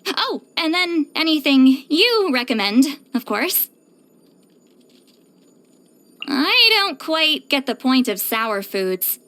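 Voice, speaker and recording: Casting: reclusive female shaman for a video game; bright, very animated delivery, touchy, theatrical, easily flustered. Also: sits high, slow pace, exaggerated voice. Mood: matter-of-fact. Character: prickly, flustered, reclusive female shaman who loves novels and wine. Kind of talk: English video game character voice lines.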